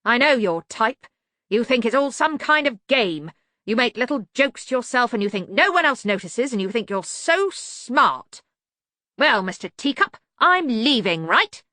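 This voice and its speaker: An older male locksmith of the criminal sort, acted out by a woman, blusters through a storybook. The voice is raised and forceful, full of scorn and rough colloquial grammar. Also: fairly high pitch, very animated, unhurried, dry recording, studio quality, voice pushed up, exaggerated voice. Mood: scornful fury. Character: angry older male locksmith, cocky working-class criminal. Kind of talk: audiobook character voice.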